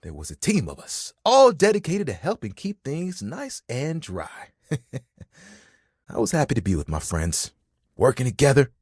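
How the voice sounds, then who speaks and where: A man's voice: mid-range voice, huge pitch swings, measured pace, a little mellow, breathy edge, dry recording, studio quality, exaggerated voice. A man voicing a friendly, vain, dramatic living towel in a video game, chatty and playful with an easily wounded manner. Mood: nostalgic, fond. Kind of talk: animated, conversational game character acting.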